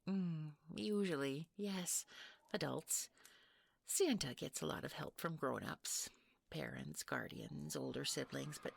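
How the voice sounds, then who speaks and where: Audio roleplay villainess, female performer: jealous, obsessive wife, sweetly menacing, very unhurried delivery, husky near-whisper, taunting. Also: low-pitched, a bit bright, exaggerated voice. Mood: mock-sweet. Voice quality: breathy, creaky, often near whisper. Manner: slow, sugary, taunting, breaking into giggles. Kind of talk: intimate scripted audio roleplay acting.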